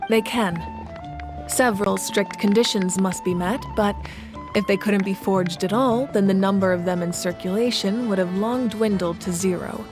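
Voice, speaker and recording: A woman's voice: mid-range voice, over music, natural voice. Composed, resolute warrior queen, acted by a woman. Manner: measured, formal, solemn. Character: composed, resolute warrior goddess ruling a nation. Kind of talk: video game voice acting.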